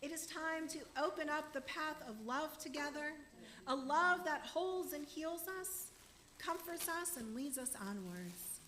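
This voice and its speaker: A woman's voice: sits high, lively intonation, a little slow, reverberant. A woman minister giving an earnest sermon to her congregation in a church, saying she is losing her voice. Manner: warm, earnest preaching to a congregation. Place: church sanctuary.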